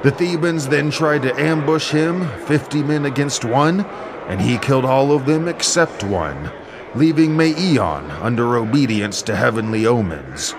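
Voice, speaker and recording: A man's voice: medium pitch, animated, measured pace, voice pushed up. Man acting a haughty warrior king, declaiming over noise. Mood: scornful, taunting. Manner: declamatory, rhetorical. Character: haughty commanding Greek warlord king, scolding and grandiose. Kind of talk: audio drama epic acting.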